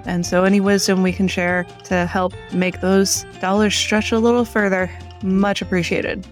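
A woman's voice: mid-range voice, little pitch movement, moderate pace. Female co-host, casual podcast chat, middle register, faint music.

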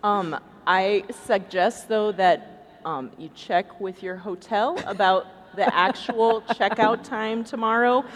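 A woman's voice: mid-range voice, slow. A woman hosting a live comic stage show, introducing material to a convention hall. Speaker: podcast host on stage. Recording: live hall with long reverb.

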